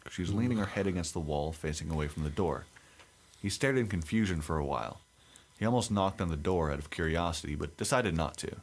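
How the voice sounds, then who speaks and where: A young man with a deep radio-like voice, co-hosting a casual podcast and reading a creepy story aloud. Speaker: podcast co-host.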